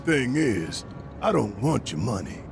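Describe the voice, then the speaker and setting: An actor playing a gruff, hot-tempered, righteous rebel fighter in a video game, with a gravelly voice and emphatic, colloquial, menacing delivery. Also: male voice, medium pitch, sing-song, measured pace, over music. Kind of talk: dramatic video game cutscene acting.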